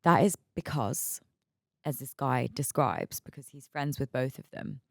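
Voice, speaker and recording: Very low, gravelly-voiced young woman. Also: unhurried, no room sound, clean studio sound. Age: mid-twenties. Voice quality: raspy. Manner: chatty and candid, laughs often, repeats words. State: hungover. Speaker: podcast host. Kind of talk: casual solo podcast chat.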